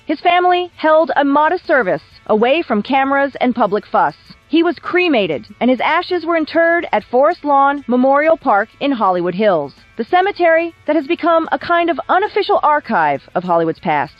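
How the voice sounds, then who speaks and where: A woman reading scripted documentary narration in a measured, literary storytelling manner. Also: on the high side, no room sound, lo-fi sound. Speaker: narrator of video essay. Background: background music.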